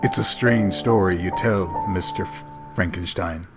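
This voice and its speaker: A ship's captain, played by a man with a lowish voice over faint music. He sounds steady and in command but kindly, as in an old seafaring audio play. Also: measured pace. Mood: kindly, reassuring. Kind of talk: audio drama acting.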